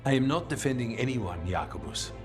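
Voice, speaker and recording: A man's voice: mid-range voice, animated, brisk, lightly acted. Man acting as firm secretive spymaster guy in a fantasy game, mid voice, faint music. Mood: defensive. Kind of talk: video game dialogue acting.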